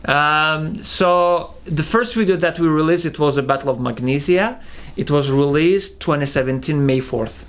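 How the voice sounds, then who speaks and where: A young man with a heavy Russian accent talks through a casual viewer Q&A. He pauses often and fills gaps with "um" and "uh". He restarts his sentences as he goes. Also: a little high, fairly bright tone, slight background noise. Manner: halting, many fillers and restarts. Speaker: channel co-founder hosting Q&A. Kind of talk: casual Q&A chat.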